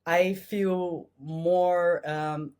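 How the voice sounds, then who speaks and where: A man in his thirties with a Dutch accent, a guest in a casual interview, speaking English as a second language with halting, hesitant phrasing. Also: high voice, level tone, darkish tone, clear, resonant tone. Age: 30s. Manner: hesitant, many fillers and restarts.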